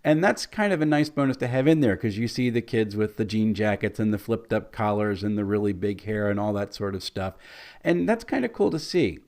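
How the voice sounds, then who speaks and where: A man with a scratchy, hoarse voice, casually reviewing a tabletop game book. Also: on the low side, animated, a little fast, darkish tone. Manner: conversational, mildly critical.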